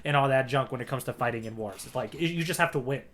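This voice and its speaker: A man's voice: medium pitch, fast, a bit bright. A man co-hosting a podcast in casual discussion, often checking in with a questioning "right?" as he makes his points. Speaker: podcast co-host.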